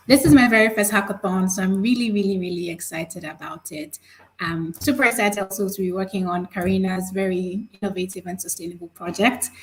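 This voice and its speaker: A woman who works as a product manager introduces herself on a video call, sounding eager and excited. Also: fairly low pitch, a little hiss or hum, rough recording. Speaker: product manager joining a hackathon.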